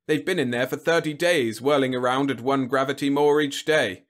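A man's voice: a little high, expressive, measured pace, fairly bright tone, pitched above the performer's own voice. A man voicing a big, overconfident, bullying army general in an audiobook story, speaking in an emphatic, blustering, snapping way. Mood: chummy, assured. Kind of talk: audiobook character acting.

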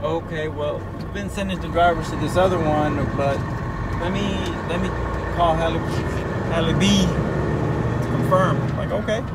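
A man in his thirties with a Texan accent, telling a rambling, relaxed story about his day from the cab of a moving semi truck, recorded on a dashboard camera. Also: a little high, a little slow. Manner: relaxed, rambling retelling with many fillers. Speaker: owner-operator truck driver vlogger. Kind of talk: casual storytelling vlog. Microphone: camera mounted on the dashboard. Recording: distant camera mic with loud engine and road noise.

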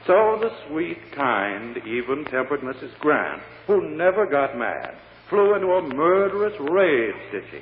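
A man's voice: on the high side, very animated, a little slow, few pauses. A smug American prosecutor in an old radio drama. His delivery swoops theatrically and drips with sarcasm. The sound is tinny and hollow with long reverb and faint noise. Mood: smug, mocking. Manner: theatrical, sarcastic, sweeping emphasis.

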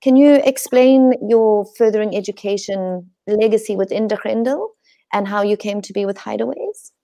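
A Zimbabwean woman in her forties hosts a webinar from home over a video call. Her voice is low-toned and gravelly, with a warm, dark tone. She sounds enthusiastic but hesitant, filling pauses with ums. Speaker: lodge host. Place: home room indoors.

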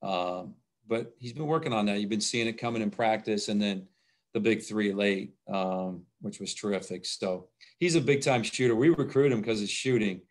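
A man's voice: on the low side, moderate pace. A middle-aged man, a basketball coach, talking plainly over a video call at a postgame press conference, often restarting his phrases. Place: indoors in front of a logo backdrop. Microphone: video call webcam.

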